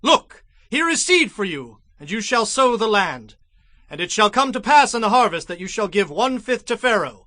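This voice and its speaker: An assured governor of ancient Egypt in an audio Bible drama. His male voice is high and bright, with a very dry sound. He declaims with measured authority. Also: sing-song, no echo, full character voice. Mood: commanding. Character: commanding, assured governor of Egypt, a dutiful son. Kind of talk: dramatized scripture acting.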